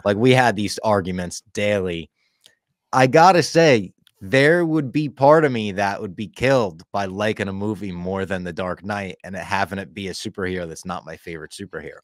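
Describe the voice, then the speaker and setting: A man's voice: on the low side, normal speed, no echo. A man in his forties, co-hosting a podcast in casual, swearing chat over a video call from a room at home. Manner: relaxed, swearing, storytelling. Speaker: podcast host. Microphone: headphones, video call.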